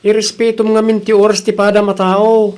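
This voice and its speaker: A woman's voice: mid-range voice, level tone, brisk, a little mellow, close to an everyday voice. A woman playing an admonishing mother who corrects her grown child in an Ilocano comedy radio drama, with a Filipino accent.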